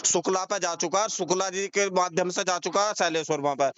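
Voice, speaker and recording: A man's voice: high voice, brisk, fairly bright tone, smooth, no room sound. A man arguing heatedly on a recorded phone call, insistent, accusing and repeating his points in colloquial speech. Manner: confrontational, insistent, accusing, repetitive.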